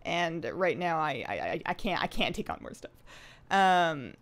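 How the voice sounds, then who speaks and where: A woman in her twenties chatting casually on a live game stream, rambling along in a bubbly, animated and giggly way. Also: low voice, unhurried. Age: 20s. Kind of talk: casual live stream chat while gaming.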